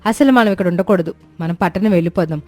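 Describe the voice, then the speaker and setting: A woman's voice: fairly low pitch, quick pace, darkish tone, soft background music, close to an everyday voice. A woman voicing a discontented young wife in a cartoon moral story, complaining and insistent. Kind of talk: dramatised moral story for animation.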